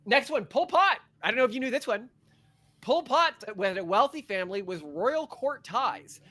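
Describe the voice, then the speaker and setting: A man's voice: extremely high pitch, lively intonation, moderate pace, sharp tone. A man co-hosting a podcast, talking in a rambling, sarcastic way and reading facts off a list. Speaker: podcast co-host. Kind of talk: casual opinionated chat.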